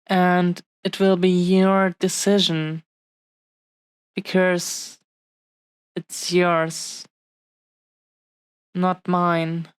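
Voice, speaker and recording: Very slow, tender dragon-blooded woman, acted by a woman. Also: fairly low pitch, monotone, clear, resonant tone, no echo, poor audio. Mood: tender, earnest. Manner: hushed-paced, halting, affectionate, earnest delivery with long pauses. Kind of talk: intimate roleplay audio drama, addressing the listener.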